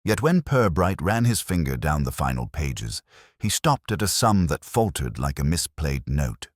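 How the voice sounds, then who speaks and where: A man narrating a scripted detective mystery in a measured, dramatic storytelling manner. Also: a bass voice, sing-song, no room sound, clean studio sound. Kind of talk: scripted literary audiobook-style narration.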